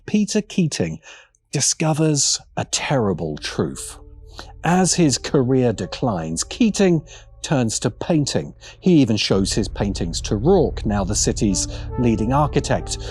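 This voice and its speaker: Male essayist narrator, mid-pitched and very animated in delivery, music underneath. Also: slow. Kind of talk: scripted video essay narration.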